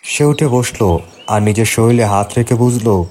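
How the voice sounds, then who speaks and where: A man's voice: mid-range voice, unhurried, a little mellow, slight background noise. A man giving scripted narration for a horror cartoon tale.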